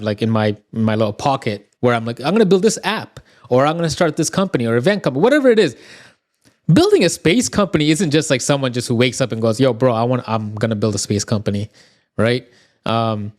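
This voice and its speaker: Toronto host, mid-pitched voice, loose and chatty, probing questions. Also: male voice. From Toronto, Canada. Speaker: podcast host. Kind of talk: casual podcast interview.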